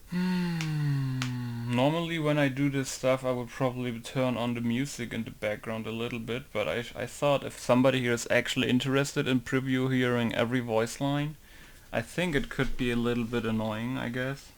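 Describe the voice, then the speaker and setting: A man mumbling casual tutorial commentary at his computer with low-key humor. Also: fairly even intonation, moderate pace. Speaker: game modder.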